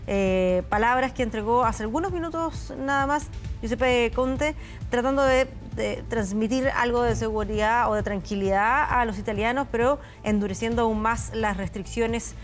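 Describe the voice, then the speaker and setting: A Chilean woman anchoring live news, speaking in a measured way with frequent hesitation fillers. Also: fairly high pitch, faint music behind. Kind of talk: live studio news commentary.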